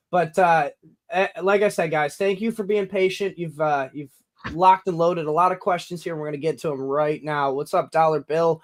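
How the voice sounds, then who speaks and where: A man's voice: on the high side, steady tone, normal speed. A man with a nasal voice, hosting a sports podcast in a friendly, upbeat way. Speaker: podcast host.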